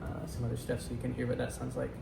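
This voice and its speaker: A young man explaining a technical device as a student presenter, in a halting, hesitant way. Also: mid-range voice, flat delivery, creaky. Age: young adult. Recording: noisy, echoey room recording.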